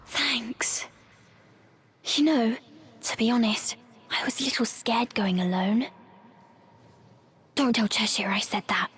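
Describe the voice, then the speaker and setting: A woman's voice: a little high, slow, pauses often, a little hiss or hum. A woman playing a plucky, stubborn young witch girl in a video game, tender underneath and often talking to herself. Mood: shyly confiding. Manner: self-talking, feigning indifference. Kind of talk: video game cutscene acting. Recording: loud orchestral game music behind.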